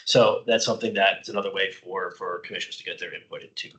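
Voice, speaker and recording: A middle-aged man, slightly hoarse, presenting a parks budget plan at a public commission meeting, explaining informally with many "uh" fillers. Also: low voice, fairly even intonation, quick pace, bright, forceful tone. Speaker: county parks department staff presenter.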